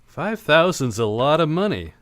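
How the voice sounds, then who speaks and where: An actor playing a cynical, wisecracking private detective in an old-time radio play, blunt, wry and clipped. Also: male voice, on the high side, very animated, normal speed, a bit bright. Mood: blunt, probing.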